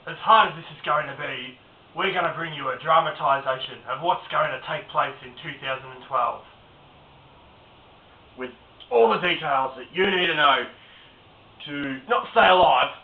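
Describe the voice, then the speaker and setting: A man plays a crude doomsday prophet, deadpan, in a fairly high voice. The mock documentary sounds homemade, roomy and phone-thin. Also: close to an everyday voice. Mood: mock-serious. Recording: echoey, distant, tinny, noisy home recording.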